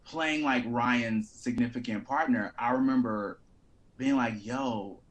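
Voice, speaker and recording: A man in his twenties with a mid-pitched voice speaks in casual conversation. He sounds like a young theater performer, animated and informal, with a playful manner. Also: takes it slowly. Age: 20s. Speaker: actor and theater artist, guest.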